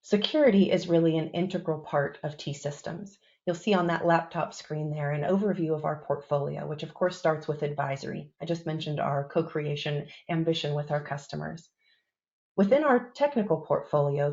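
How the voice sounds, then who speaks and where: A woman's voice: deep voice, normal speed, vocal fry, a little mellow, dull audio. A woman moderating a corporate panel, presenting in a polished, upbeat, emphatic way.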